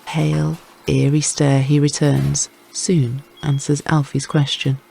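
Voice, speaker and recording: A man's voice: a little high, level tone, slow pace, creaky, darkish tone, slight background noise, low-quality recording. A man narrating scripted crime fiction as an audiobook, with wry, literary storytelling.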